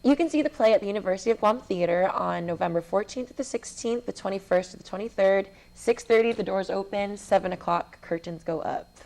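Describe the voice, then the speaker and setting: A woman's voice: mid-range voice, fairly quick, gravelly, few pauses, a little mellow, low-quality recording. A young woman, a student theater director and actor, answering questions conversationally as an interview guest. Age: young adult.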